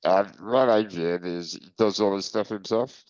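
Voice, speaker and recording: A man co-hosting a podcast, talking in a loose, laid-back way with plenty of tag questions. His voice is very low. The recording is dry and dull, with a lo-fi tone. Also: measured pace, darkish tone, dry recording. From Wales. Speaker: podcast co-host. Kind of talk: casual chat.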